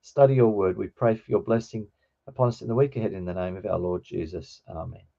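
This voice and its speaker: A man's voice: lowish voice, brisk, gravelly, dark tone. Middle-aged male teacher, dull lo-fi sound. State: slightly croaky voice. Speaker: teacher of New Testament Greek. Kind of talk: informal online lesson. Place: online video call with screen share. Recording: dull, lo-fi online call.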